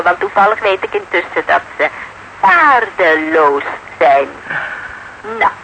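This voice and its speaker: A brash woman in a radio play, bright and cutting, with very lively swings in her delivery. She sounds sarcastic and dismissive over faint noise. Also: medium pitch, poor audio. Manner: taunting, dismissive, colloquial. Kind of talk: naturalistic Dutch radio drama acting.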